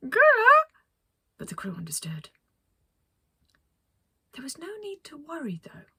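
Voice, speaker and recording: A woman reading a children's story as a storyteller, expressive, with dramatic pauses. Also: high voice, gravelly, dry recording, studio quality. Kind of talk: scripted children's story narration.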